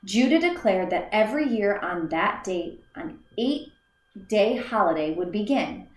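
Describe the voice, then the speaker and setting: A woman teacher reads a children's story aloud, unhurried and deliberate, breaking the text into small phrases. Now and then she trips and restarts a word. Her voice carries a noticeable echo from the room around her. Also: medium pitch, animated. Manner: slow, careful read-aloud with small slips and restarts. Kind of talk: reading a children's book aloud. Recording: echoey room.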